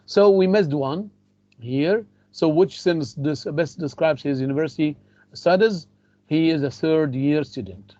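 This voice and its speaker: A man's voice: fairly high pitch, darkish tone, dry recording, muffled recording. A man with an Egyptian accent teaching an English class, repeating phrases and mixing in Arabic. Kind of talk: classroom lecture.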